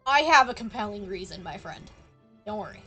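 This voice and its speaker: A woman's voice: mid-range voice, animated, a little fast, sharp tone. A woman game streamer giving casual commentary, thinking aloud in a conversational way. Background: game music.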